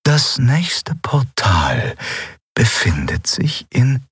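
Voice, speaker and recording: A man plays a mysterious spirit mentor in an audiobook. He speaks slowly and calmly at a medium pitch, measured and a little husky. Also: no echo, studio quality, full character voice. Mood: calm, knowing. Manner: slow, measured. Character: calm, mysterious male spirit mentor with glowing hair. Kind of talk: audiobook character voice.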